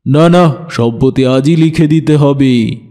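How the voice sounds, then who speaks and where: A man acting a scheming holy man in a cartoon. The voice is dark and mellow at a middling pitch. He talks brusquely and commands with an ominous, greedy air. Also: unhurried, close to an everyday voice. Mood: demanding. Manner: ominous pronouncements, repeats phrases, addresses others brusquely. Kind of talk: dramatized cartoon dialogue.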